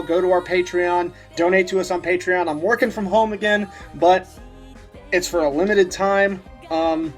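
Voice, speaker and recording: A man with a high-pitched voice gives casual, off-the-cuff reaction commentary as a channel host. His manner is giggly and informal, with some swearing, and music plays faintly behind him. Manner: giggly, often laughing as he talks. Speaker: host of a meme review channel.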